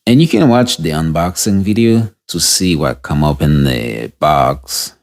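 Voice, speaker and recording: A man with a notably deep, low voice talks slowly through a casual product review. He speaks off the cuff as he tests the device, pausing and restarting his sentences. He is recorded on a budget audio interface mic. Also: expressive, gravelly. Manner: unscripted, halting, restarts mid-sentence while testing gear.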